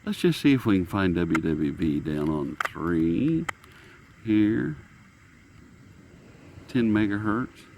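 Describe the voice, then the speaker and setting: A man's voice: deep voice, big pitch swings, slow pace, vocal fry, long pauses, background noise. A man hosting a hobby channel, giving a casual, unscripted demonstration in a folksy, rambling way as he muses aloud. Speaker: radio hobbyist channel host. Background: shortwave radio being tuned.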